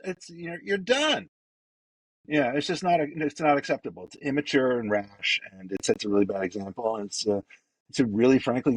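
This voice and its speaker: A man's voice: fairly low pitch, slightly rough, no room sound, rough recording. A man co-hosting a podcast, giving his opinion bluntly and emphatically, hammering his points home. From United States. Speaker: podcast co-host. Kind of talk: opinionated casual chat.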